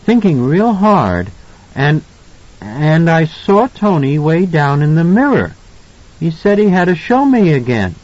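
A man voicing a frightened, confused, earnest little boy in an audiobook, with a halting, pleading delivery. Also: on the high side, very animated, darkish tone, voice pushed up. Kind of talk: audiobook character voice. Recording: slightly dull, lo-fi audio.

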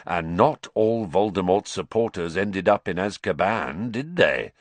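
A man playing a bitter, vengeful male wizard who growls his words low and with venom. The voice sits deep but moves with lively swings, and the sound is dry and close. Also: measured pace, no echo. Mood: menacing.

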